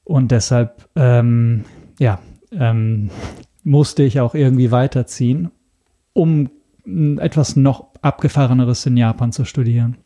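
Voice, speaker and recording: A man's voice: medium pitch, unhurried, warm tone. A man from Italy, a non-native German speaker with an Italian accent, answering interview questions with frequent hesitations. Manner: hesitant, many ums. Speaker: podcast guest. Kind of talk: casual interview talk.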